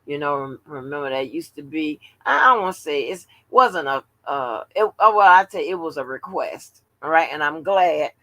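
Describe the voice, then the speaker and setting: A woman's voice: low-pitched, lively intonation, normal speed, few pauses, fairly bright tone, dry recording. Chatty woman in her seventies. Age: 70s. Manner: chatty, rambling, salty slang. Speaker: livestream host. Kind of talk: casual late-night commentary.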